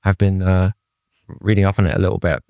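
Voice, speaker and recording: A man with a fairly low voice hosts a casual interview podcast. He sounds understated, feeling his way haltingly through questions, in a close, dry recording. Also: flat delivery, creaky, no echo, muffled recording.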